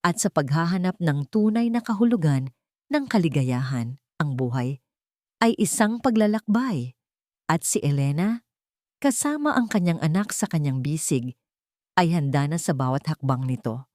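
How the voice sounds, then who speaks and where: A woman's voice: low voice, lively intonation, moderate pace, a little mellow, no room sound, clean studio sound. A woman reading scripted dramatic story narration in an earnest, sentimental way.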